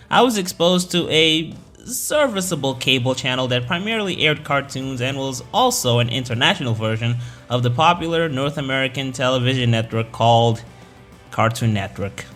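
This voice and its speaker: A young man whose first language is Portuguese rants in an opinionated, sarcastic way and swears freely. His voice sits at a medium pitch for a man. Faint music plays behind him. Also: fairly bright tone. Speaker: video essayist. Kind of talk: ranting commentary.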